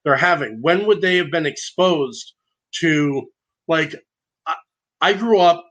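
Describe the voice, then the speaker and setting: A man speaking as a podcast guest, loud and emphatic, talking earnestly about his own experience. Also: on the high side, slow, low-quality recording. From grew up in a divorced family. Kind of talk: conversational podcast interview.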